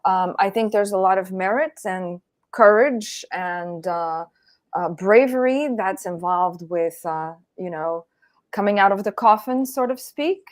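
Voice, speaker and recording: A woman speaking as an interview guest in a measured, deliberate way, with frequent pauses. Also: medium pitch, no room sound, clean studio sound. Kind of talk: reflective interview talk.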